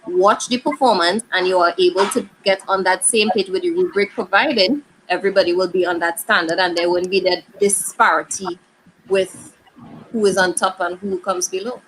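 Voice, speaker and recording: A woman's voice: lowish voice, moderate pace, a bit bright, slight background noise, rough recording. Earnest woman panelist explaining. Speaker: panelist and judge. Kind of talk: panel discussion.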